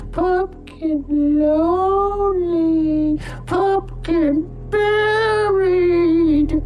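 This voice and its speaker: A possessed toy puppet with a tiny, extremely high, squeaky voice. It drags out babyish, sing-song phrases very slowly, playful yet sulky and menacing. Soft music sits behind this horror audio drama performance. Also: full character voice, rough recording. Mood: aggrieved, sulky. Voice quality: squeaky cartoonish.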